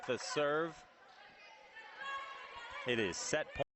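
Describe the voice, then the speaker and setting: A man's voice: fairly high pitch, drawn-out words. A man doing live play-by-play commentary of a volleyball match in clipped phrases that rise on big plays. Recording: noisy gym with crowd behind. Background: crowd noise and ball bounces in a gym.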